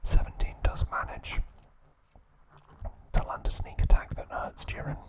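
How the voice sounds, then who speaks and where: Guy whispering asmr trivia real slow, fixes his own slips. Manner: slow soft whisper, occasional self-corrections. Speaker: ASMR host.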